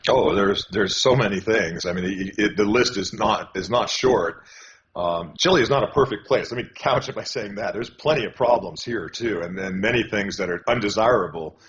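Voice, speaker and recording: An older man from California with a very deep voice answers interview questions over a dull, lo-fi connection with a faint hiss behind him. He talks haltingly, restarting phrases and filling pauses as he goes. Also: fairly bright tone. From California, USA. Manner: halting, many restarts and fillers. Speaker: guest. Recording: dull lo-fi call audio with faint noise.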